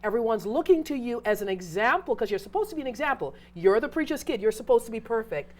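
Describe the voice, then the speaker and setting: A woman's voice: a little high, expressive, fast, darkish tone. A woman from Trinidad, a reverend and author, talking earnestly and reflectively as an interview guest, often restarting her sentences. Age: adult who arrived as a child over thirty years ago. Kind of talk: casual interview conversation.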